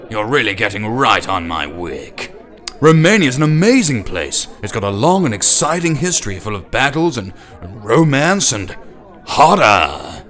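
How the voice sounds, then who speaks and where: A man's voice: medium pitch, sharp tone. A man voicing a pompous, haughty actor and traveller. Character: pompous posh male actor, lecturing.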